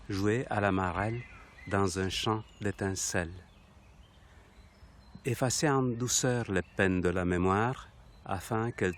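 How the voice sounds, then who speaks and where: A man reading poetry aloud in a measured recitation with deliberate pauses. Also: lowish voice, slight background noise.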